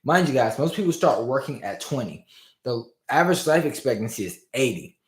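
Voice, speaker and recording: A man's voice: medium pitch, fairly quick. Male business coach, livestream host, casual hype delivery, slightly strained voice, roomy reverb. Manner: energetic and informal, addresses viewers as "y'all" and "fam". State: strained voice after losing it. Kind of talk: casual motivational livestream talk. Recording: roomy echo.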